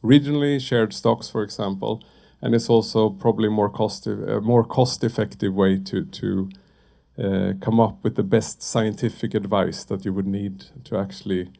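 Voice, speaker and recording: A man speaking on a panel, slow and halting with many fillers. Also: on the low side, steady tone, vocal fry, dark tone. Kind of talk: panel discussion remarks.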